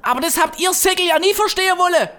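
A woman's voice: very high-pitched, fast talker, bright, forceful tone. A woman playing a belligerent, hot-headed office warrior leader in a comic audio drama, ranting with scorn. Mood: furious, scornful.

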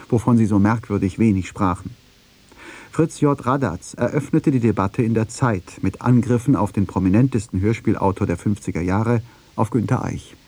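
A man narrates a documentary feature from a script. His voice sits fairly low and has a dark, mellow tone. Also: slightly rough.

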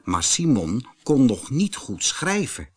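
A man's voice: mid-range voice, big pitch swings, slow pace, faint music behind. A man with a Netherlands Dutch accent reading a scripted children's fairy tale as an audiobook narrator.

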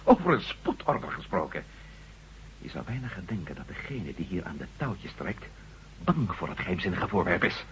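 An actor playing a headstrong, indignant reporter and former astronaut in a radio drama, emphatic and sardonic, with a husky voice. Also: male voice, deep voice, very animated, normal speed, a bit bright, slight background noise. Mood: determined. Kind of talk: Dutch radio drama acting. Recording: dull, lo-fi old radio recording.

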